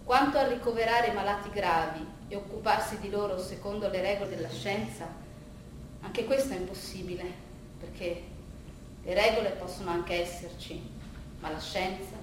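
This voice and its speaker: A woman playing a weary, disillusioned older psychiatrist in a theatrical monologue, speaking in a measured, deliberate way with rhetorical repetition. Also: medium pitch, voice pushed down, close to an everyday voice. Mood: bitter, questioning. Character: veteran psychiatrist of thirty-five years, weary, disillusioned, reflective. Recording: roomy, distant stage recording, faint noise.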